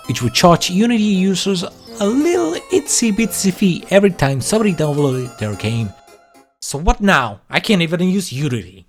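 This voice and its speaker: Animated man narrating a sarcastic comedic video. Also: high-pitched. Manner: sarcastic, self-deprecating, theatrical. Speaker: YouTube creator and host.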